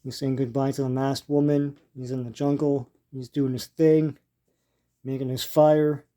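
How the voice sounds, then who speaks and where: An older man with a dark, relaxed voice at a middling pitch talks through what he is showing. His delivery is halting and informal, like someone thinking aloud as he hosts a casual show-and-tell. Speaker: host leafing through vintage comic strips.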